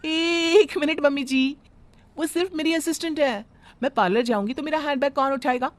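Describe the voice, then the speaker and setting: A woman's voice: high voice, moderate pace, no room sound, close to an everyday voice. A woman voicing a spoiled, cheeky young bride who talks back. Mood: sassy. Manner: cheeky, dismissive.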